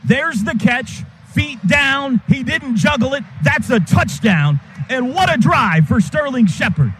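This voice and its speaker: A man doing live radio play-by-play of a football game in clipped, vivid, excitable calls. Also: very high-pitched, unhurried. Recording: radio broadcast over loud stadium noise. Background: stadium crowd noise.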